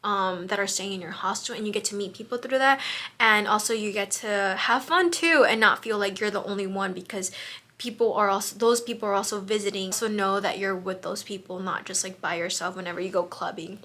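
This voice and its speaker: A woman's voice: medium pitch, brisk, sharp tone. A young woman, a Mexican American whose first language is Spanish, giving casual travel advice in a chatty vlog, full of fillers and restarts. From United States. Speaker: travel vlogger.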